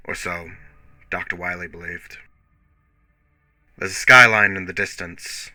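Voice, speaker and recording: A man narrates a radio play in a lowish, very bright and tense voice. His delivery is grave and theatrical, leaning hard on key words, with music running beneath him. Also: dry recording, rough recording. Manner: theatrical, grave, emphatic storytelling. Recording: music bed under the voice.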